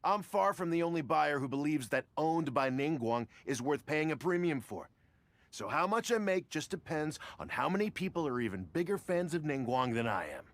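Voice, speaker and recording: An earnest fan of a rich lady, an eager buyer in a video game. His rather high voice swings animatedly and catches in stammers when he frets. A faint hiss sits under the recording. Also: moderate pace. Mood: satisfied. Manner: excitable, stammering when uneasy. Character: eager male fan bidder, nervous yet earnest. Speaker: auction buyer. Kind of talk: game voice acting.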